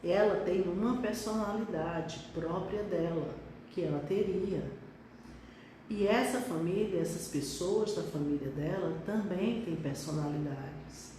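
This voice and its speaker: A woman's voice: on the low side, unhurried, dark tone, slight background noise. A middle-aged woman pediatric neurologist from Brazil, talking reflectively on a live stream with some hesitations. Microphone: phone on live stream. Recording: echoey room, distant from mic.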